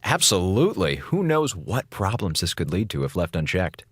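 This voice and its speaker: A wisecracking space station radio officer in an audio drama. His voice sits a little low and swings around a lot, flippant and sarcastic, recorded close and dry. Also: sing-song, normal speed, slightly rough, no echo, heavily acted. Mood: feigned earnestness. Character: sardonic slacker communications officer on a space station.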